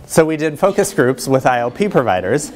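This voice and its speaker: A man's voice: mid-range voice, very animated, a little fast, background noise. A middle-aged man lecturing in a conversational way as he presents research and takes questions. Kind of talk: conversational academic talk with questions. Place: lecture room, indoors.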